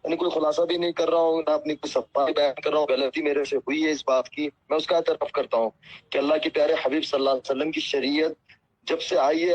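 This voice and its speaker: A man's voice: a little high, slightly rough. A man on a phone call, speaking humbly and earnestly, apologizing and asking at length for patience. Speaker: cleric answering a phone call. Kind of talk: phone conversation. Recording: muffled lo-fi phone call recording.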